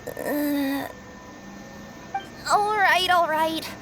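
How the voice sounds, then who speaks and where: A woman's voice: very high-pitched, drawn-out words, fairly bright tone, slight background noise, heavily acted. A woman voicing a young, eager courier girl in an anime game, sweet, flustered and apologetic, tripping over her words. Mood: sheepish. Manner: flustered, stammering, exclaiming. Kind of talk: anime video game voice acting. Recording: game music behind the voice.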